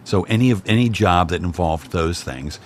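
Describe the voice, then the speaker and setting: A man's voice: sits low, animated, moderate pace. A man from Cincinnati, a guest in casual chat, joking in a dry, self-deprecating way. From Cincinnati, Ohio.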